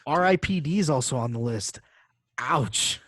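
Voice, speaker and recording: A man's voice: medium pitch, lively intonation, normal speed, fairly bright tone. A man co-hosting a podcast in casual, slangy chat, with frequent fillers as he talks through lists and figures. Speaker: podcast co-host.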